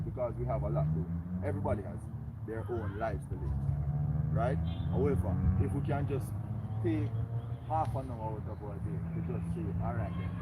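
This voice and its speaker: A young Jamaican man with a strong, carrying voice makes an earnest, rallying pitch to his neighbours at an outdoor meeting. His voice sits at a middling pitch for a man. Heavy road traffic rumbles loudly behind him. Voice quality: strong, loud voice. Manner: earnest, rallying, tags lines with "right?". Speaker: community organizer. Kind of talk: outdoor community meeting speech. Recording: loud traffic noise behind. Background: passing cars.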